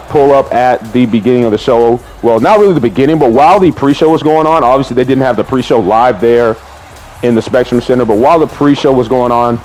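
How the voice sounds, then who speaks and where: Excitable man, slightly hoarse. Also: mid-range voice, a little hiss or hum, low-quality recording. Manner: excitable, drawn-out exclamations, says "man" often. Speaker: vlogger. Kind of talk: casual vlog recap.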